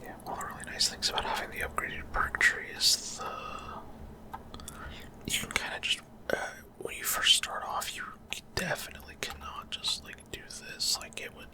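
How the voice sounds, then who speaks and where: Male ASMR gamer, fully whispered delivery, breathy, halting off-the-cuff explanations in short phrases. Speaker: gaming video creator. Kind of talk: whispered ASMR gameplay commentary.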